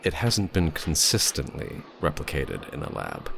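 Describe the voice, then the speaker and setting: Male standoffish scientist: rock-bottom pitch, creaky edge, exacting and guarded, audio drama. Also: wildly swooping pitch, fairly bright tone, a little hiss or hum, heavily acted. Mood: cool, exacting. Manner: measured, pedantic, guarded. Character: aloof, self-assured male scientist, a powerful doctor.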